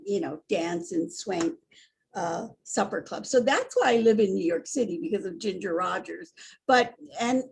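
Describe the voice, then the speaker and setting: A woman in her sixties from Hawaii, speaking as a guest in an animated, candid conversation full of restarts and asides. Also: mid-range voice, creaky. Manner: animated and candid, with frequent restarts and "you know". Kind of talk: conversational storytelling.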